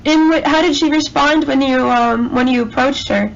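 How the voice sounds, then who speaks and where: A woman with a high voice asks casual, prodding questions in a private phone conversation. She agrees readily and repeats answers back, on a muffled recording with faint noise. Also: moderate pace, gravelly. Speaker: caller asking questions.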